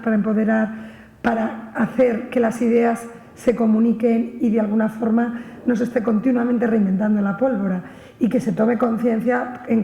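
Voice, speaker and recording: A woman's voice: fairly high pitch, vocal fry, dark tone. A woman speaking reflectively as a panelist in a spontaneous discussion. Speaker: panelist, science radio project member. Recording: echoey hall with long reverb.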